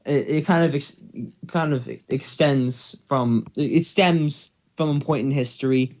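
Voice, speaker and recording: A man's voice: medium pitch, measured pace. A man chatting casually as co-host, rambling and correcting himself as he goes. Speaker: co-host of a fan fiction reading channel. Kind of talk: casual chat.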